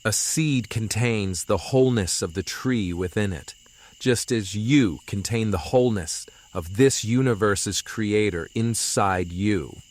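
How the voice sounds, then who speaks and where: A man playing a gentle, wise young mystic who speaks earnestly and philosophically in an audio drama. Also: lowish voice. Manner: slow, earnest, philosophical delivery. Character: gentle, wise young male mystic consoling his despairing friend.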